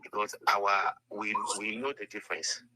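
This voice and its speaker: A man's voice: on the low side, steady tone, normal speed, noisy background. A man speaking earnestly in a played clip, lecturing a little. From Ghana. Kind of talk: talking in a clip played in the debate.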